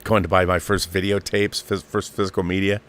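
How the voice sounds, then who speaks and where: A man's voice: deep voice, level tone, fairly quick. A man in his fifties hosting an online show, talking off the cuff in a casual, emphatic fan monologue and repeating phrases for stress. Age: 50s.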